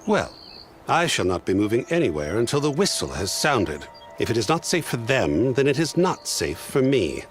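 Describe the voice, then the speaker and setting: An actor playing a stubborn, fussy sun priest in a video game, haughty and formal. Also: male voice, mid-range voice, sing-song, moderate pace, slight background noise, natural voice. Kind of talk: video game dialogue acting.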